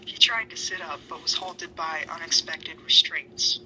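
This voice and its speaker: A woman's voice: deep voice, a little slow, pushed and bright. A woman reading a story aloud in an amateur dramatic style, with sweary, self-mocking asides. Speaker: fan fiction reader. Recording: noisy home recording.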